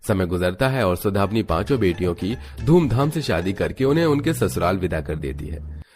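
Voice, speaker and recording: Low-voiced male cartoon narrator. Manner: steady, explanatory. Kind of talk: scripted storybook narration.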